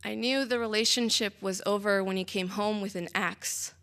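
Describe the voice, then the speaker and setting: A young woman performing spoken-word slam poetry with a dramatic, deliberate delivery and measured pauses. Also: medium pitch, steady tone, a bit bright, clear, resonant tone. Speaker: slam poet.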